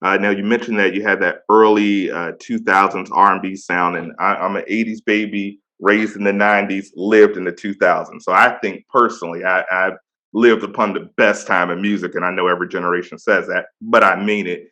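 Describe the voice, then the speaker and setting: A man in his thirties with a deep voice, hosting a casual interview and praising his guest with slang. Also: steady tone, a little fast, bright, forceful tone. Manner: enthusiastic, slang-heavy praise. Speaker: podcast host.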